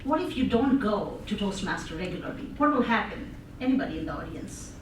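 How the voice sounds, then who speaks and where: A woman's voice: mid-range voice, lively intonation, fairly quick. A woman with an Indian accent giving an interactive speech, asking the audience questions and laughing often. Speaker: public speaker. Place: conference room with audience. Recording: echoey room, voice from far off, noisy.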